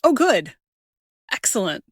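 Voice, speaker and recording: A woman's voice: a little high, big pitch swings, a little slow, slightly rough, no room sound, studio quality. A woman speaking as the host of a conversational interview podcast. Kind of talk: scripted introduction and conversational interview.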